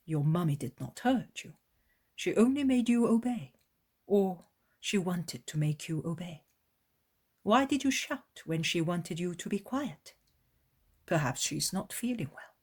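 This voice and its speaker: A woman voicing a gentle, grave male teacher who speaks in calm, measured tones. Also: lowish voice, husky, heavily acted. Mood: soothing.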